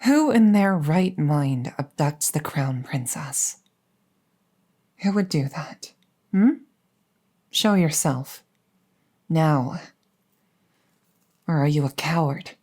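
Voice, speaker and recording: A woman's voice: sits low, animated, mellow, exaggerated voice. A woman playing a captured crown princess, haughty but easily flustered and coy, in a roleplay audio drama. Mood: indignant, demanding. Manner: stammering, hesitant, halting.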